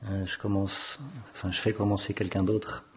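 Guy giving a design talk on stage, lots of euhs, keeps hunting for words, lowish voice. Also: flat delivery, normal speed, a little hiss or hum. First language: French. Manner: hesitant, often searching for words with many fillers. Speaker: graphic designer giving a lecture. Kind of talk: conference talk. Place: stage of a lecture hall, seated at a desk.

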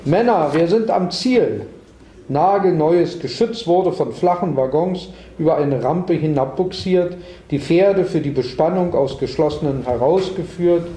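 A man's voice: on the high side, expressive, measured pace, dark tone. A man reading prose aloud at a literary reading, recorded in a roomy space. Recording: roomy echo, faint background noise.

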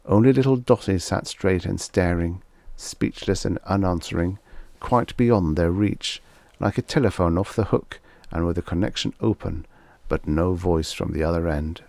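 A man's voice: sits low, moderate pace, creaky, few pauses, mellow, dry recording. A man reading a scripted science fiction story aloud as an audiobook narrator.

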